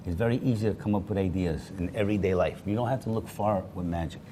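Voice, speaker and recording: A man's voice: low voice, a little hiss or hum. A man who is a magician, telling stories and showing tricks in a casual chat. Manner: storytelling, animated, quick to laugh. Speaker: magician guest.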